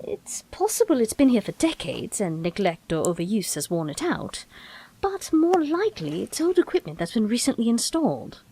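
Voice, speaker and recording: A woman's voice: on the high side, very animated, normal speed, dark tone, breathy edge, full character voice. A woman playing an eccentric, breezy, brainy time-travelling Doctor in an audio drama, chatty and whimsical, with quaint turns of phrase. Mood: curious.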